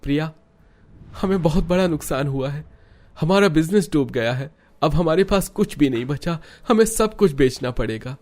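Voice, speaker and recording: A man's voice: a little high, lively intonation, moderate pace, darkish tone, close to an everyday voice. A man voicing a troubled, downcast businessman husband in a moral cartoon story. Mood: anxious, dejected.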